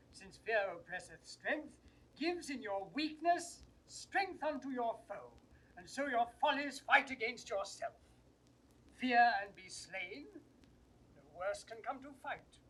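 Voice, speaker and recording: An earnest churchman in a Shakespearean audio drama, stern and reasoning. He delivers his verse slowly and deliberately, as admonishing counsel. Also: very high-pitched, pushed and bright, full character voice, rough recording. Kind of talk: classical Shakespearean verse acting.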